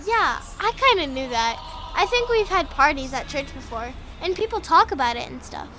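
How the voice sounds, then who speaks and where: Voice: high-pitched, big pitch swings, moderate pace, bright, forceful tone, heavily acted. A young performer playing an eager, curious little boy in a children's audio drama, chatty and full of questions. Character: excitable, curious, earnest little boy Luke.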